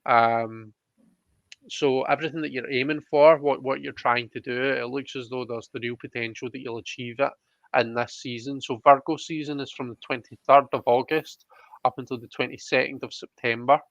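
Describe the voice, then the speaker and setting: A man hosts a casual live card reading in a medium-pitched voice. He thinks aloud and repeats phrases as he chats to his guest and viewers. The sound is dry, with little room in it. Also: fairly even intonation, moderate pace, gravelly, no room sound, lo-fi sound.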